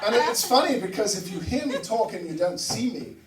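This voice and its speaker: A man from Barbados doing a stand-up comedy set, swearing and jumping high in pitch for punchlines. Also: expressive, brisk, reverberant, recorded from a distance, noisy background. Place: comedy club stage with audience. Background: audience laughter.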